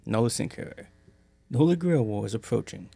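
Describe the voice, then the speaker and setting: A man's voice: fairly low pitch, animated, fairly quick, creaky, warm tone, faint music behind. A man playing a scheming, dismissive older priest in an anime parody dub, speaking in an officious, matter-of-fact way.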